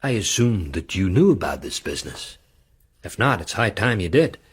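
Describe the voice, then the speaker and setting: A blunt businessman in a radio play. His voice is low and husky, with a lively, swooping, matter-of-fact delivery. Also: moderate pace, exaggerated voice. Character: blunt American businessman bearing grim news. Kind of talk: radio drama acting.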